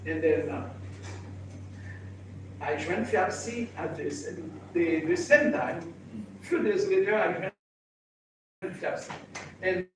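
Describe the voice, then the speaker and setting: A man's voice: high-pitched, expressive, a bit bright. Older man, echoey and distant. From Haiti. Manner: halting, repeats and restarts phrases. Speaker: real estate association president. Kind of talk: personal introduction speech. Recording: echoey room, mic far off, background noise.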